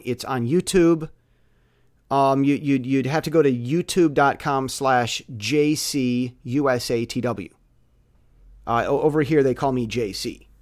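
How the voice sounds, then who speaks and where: A man speaking in a casual, rambling monologue, excitably repeating words and phrases for emphasis. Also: medium pitch. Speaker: musician with an online channel.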